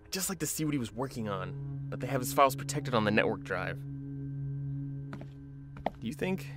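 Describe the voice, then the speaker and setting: A man's voice: mid-range voice, very animated, a little fast, over music, close to an everyday voice. A man playing a driven, secretive and resourceful research doctor in an audio drama. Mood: subdued, hesitant.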